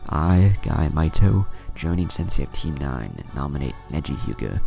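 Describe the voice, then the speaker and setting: A man voicing a solemn male ninja teacher in a story reading, serious and gravelly. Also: extremely low pitch, sing-song, moderate pace, warm tone, soft background music, full character voice.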